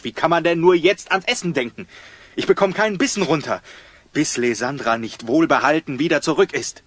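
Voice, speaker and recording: Erregter, lebhaft schwankender Mann. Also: male voice, a little high, animated, brisk. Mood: anxious reproach. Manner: agitated. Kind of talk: children's radio play acting.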